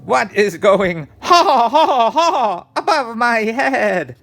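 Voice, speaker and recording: A man voicing startled picture-book neighbours, with exaggerated, drawn-out, sing-song exclamations. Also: very high voice, sing-song, creaky, fairly bright tone, faint music behind, voice pushed up, full character voice. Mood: startled, exclaiming.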